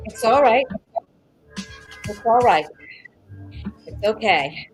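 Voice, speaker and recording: An actress playing a soothing mother who gently calms her daughter. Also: on the high side, takes it slowly, soft background music, low-quality recording.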